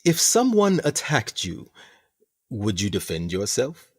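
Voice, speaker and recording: An actor playing a smug, theatrical captain of a sinister prison colony in a radio play, speaking in a pompous, deliberate, condescending way. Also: male voice, on the low side, wildly swooping pitch, breathy edge, heavily acted. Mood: coolly authoritative.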